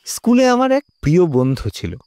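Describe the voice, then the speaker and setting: Man playing a mellow, kindly old grandfather storyteller. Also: sits high, very animated. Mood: fond, indulgent. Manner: indulgent, unhurried storyteller. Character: kindly retired grandfather telling stories of his past. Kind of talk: animated audio story narration. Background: background music.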